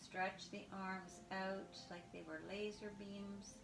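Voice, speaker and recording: A woman leading a guided yoga class, giving calm, step-by-step instructions. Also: mid-range voice, slow pace, gravelly, background noise. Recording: echoey room with music behind. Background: music.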